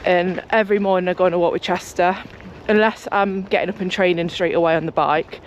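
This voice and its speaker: A young woman, chatting casually to her camera as a cycling vlogger, rambling and talkative, her voice a bit croaky from just waking up. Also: fairly low pitch, fairly even intonation, brisk, a little hiss or hum. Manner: chatty, rambling, talks a lot. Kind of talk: casual vlog chat to camera. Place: home, then outdoors on a walk.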